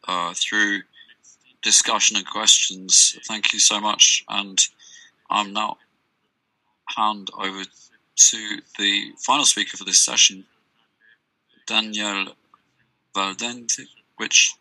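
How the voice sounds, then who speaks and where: Slow, halting male panel moderator. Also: on the low side, bright, forceful tone, dry recording, recorded from a distance, rough recording. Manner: halting, with frequent fillers and restarts. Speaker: session moderator. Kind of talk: introducing speakers at an academic panel.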